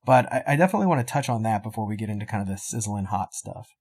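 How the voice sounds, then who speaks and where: A man's voice: on the low side, expressive, racing, a little mellow. A man hosting a podcast interview, talking in a chatty, rambling way with many fillers. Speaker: podcast host. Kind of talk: casual interview chat.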